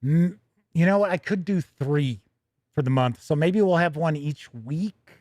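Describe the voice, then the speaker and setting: A man's voice: on the high side, a little slow, darkish tone, no echo. A man hosting a casual livestream, talking in a rambling, conversational way and often interrupting himself as he goes. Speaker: livestream host. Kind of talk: casual livestream chat, answering viewer questions.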